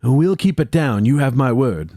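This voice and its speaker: A man playing an anxious, well-spoken assistant, narrating a horror audio drama in a formal, measured way. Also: medium pitch, lively intonation, mellow, dry recording, clean studio sound. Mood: placating.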